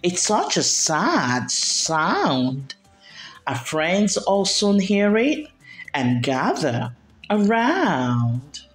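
A woman reading a children's picture book aloud, expressively and with deliberate enunciation. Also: fairly low pitch, big pitch swings. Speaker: storyteller. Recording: roomy echo, background music. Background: music.

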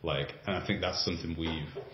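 A man's voice: rumbling low, fairly even intonation, brisk, slight background noise. A man hosting a sports podcast, making long, reasoned points in a conversational way. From Britain or Ireland. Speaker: podcast host and writer. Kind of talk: podcast interview. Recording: roomy, slightly dull remote audio.

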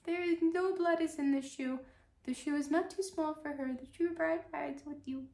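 High, chanting little pigeons. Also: female voice, steady tone, moderate pace, darkish tone. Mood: shrill warning. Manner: singsong, chanting. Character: small crying pigeons chanting a warning rhyme. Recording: echoey room.

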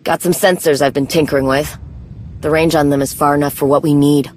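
A woman's voice: sits low, steady tone, brisk, darkish tone, slight background noise, close to an everyday voice. A woman playing a stern, vengeful warrior commander in a video game, speaking in terse, weighted lines. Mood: stern, bitter. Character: grim, vengeful female Mandalorian warrior commander. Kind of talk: video game dramatic acting.

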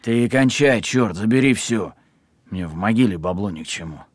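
An actor playing a tough, weary criminal on the run, sardonic and full of street slang, in an audio film. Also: male voice, fairly low pitch, expressive, moderate pace, husky, no echo. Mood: urgent, insistent.

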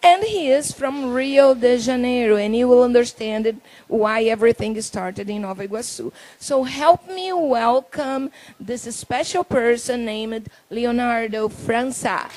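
A middle-aged Brazilian woman, hosting a talk show in English with a handheld mic, halting and reading from notes. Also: a little high, a little mellow, low-quality recording. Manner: halting, reads from notes, laughs often. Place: indoor talk show stage.

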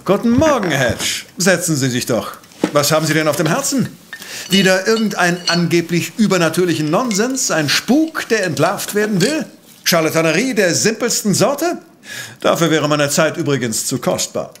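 Brilliant, impatient professor-detective for audio drama: raised register, brusque, precise, superior manner. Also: male voice, high-pitched, very animated, moderate pace, a bit bright, a little hiss or hum. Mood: dismissive, impatient. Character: impatient, arrogant, brilliant male professor and amateur sleuth. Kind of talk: German audio drama acting.

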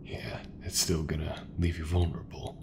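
A man playing an easygoing, folksy sci-fi mechanic in a soft, breathy, reassuring ASMR roleplay voice. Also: rumbling low, little pitch movement. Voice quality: gravelly, breathy, half-whispered. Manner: slow, unhurried, reassuring, colloquial. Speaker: voice actor.

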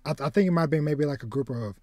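A man's voice: fairly high pitch, racing, dry recording. Rambling male podcast host, dry close sound. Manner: animated, rambling, repeats words while searching for phrasing. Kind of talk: casual chat, retelling a film plot. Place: home room with bookshelves, indoors.

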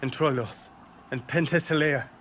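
A man's voice: on the high side, moderate pace, a bit bright, slight background noise, natural voice. A man playing a guilt-ridden, anguished young Trojan prince in a video game, brooding and emotional. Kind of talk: dramatic video game acting.

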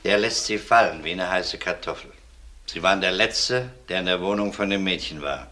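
A man's voice: low voice, moderate pace, bright, forceful tone. A man playing a firm police inspector in a German crime radio drama, questioning in a clipped, dryly sardonic way. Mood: dryly pressing. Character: male police inspector, firm, dry, probing. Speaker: actor.

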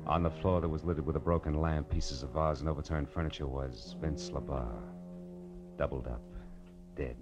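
A hard-boiled radio private eye with a low, deep voice. He is wry, clipped and commanding, in 1950s noir style. Music sits behind the old recording. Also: steady tone, moderate pace, highs cut off. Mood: brooding narration.

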